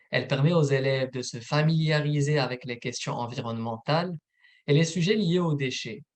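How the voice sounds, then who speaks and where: A man, a program manager, presenting a recorded informational webinar in a steady, methodical read. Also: mid-range voice.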